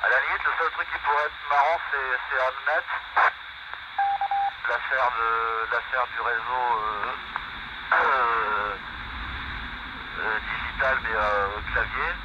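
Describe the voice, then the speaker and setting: Older male radio operator, mid-pitched, reflective and opinionated, speaking through heavy static on a muffled FM link. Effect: two-way FM radio transmission. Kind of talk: casual ham radio conversation. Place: in a vehicle, mobile station. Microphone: radio transceiver microphone.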